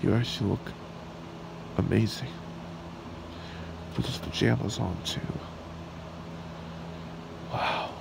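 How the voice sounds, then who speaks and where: A man playing a smitten, shy red dragon boyfriend in an ASMR roleplay, speaking in a halting, tender way. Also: lowish voice, gravelly, heavily acted. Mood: flustered. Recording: steady background hiss or room hum.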